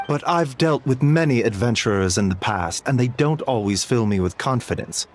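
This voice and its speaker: A man voicing a courteous, composed adult male physician in a video game, speaking in a measured, formal manner. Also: medium pitch, lively intonation, slight background noise, natural voice. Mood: measured worry. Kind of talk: animated video game voice acting.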